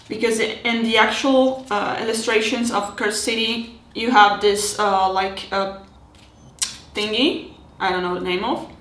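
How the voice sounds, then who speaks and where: A woman in her thirties casually narrating her hobby build, chatty and halting, with many restarts and fillers, recorded at home on a desk camera mic. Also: on the high side, a bit bright, echoey room, a little hiss or hum. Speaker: miniature terrain hobbyist.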